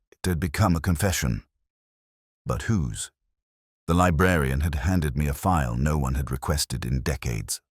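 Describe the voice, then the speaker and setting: Really deep male narrator, brooding world-weary inspector telling a mystery, solemn but pitch moves around a lot. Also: no echo, studio quality. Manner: measured, literary, solemn delivery. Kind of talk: first-person scripted detective story narration.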